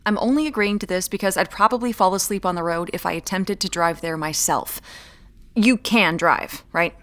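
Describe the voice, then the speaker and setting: An actress playing a brisk, guarded woman amateur detective in an audio drama, deadpan and curt. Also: lowish voice, lightly acted. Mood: curt, reluctant.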